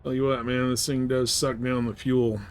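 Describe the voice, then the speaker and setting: A man's voice: mid-range voice, level tone, normal speed, a little mellow. A man chatting casually and in a rambling, laid-back way as he streams a game. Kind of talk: casual chat while playing.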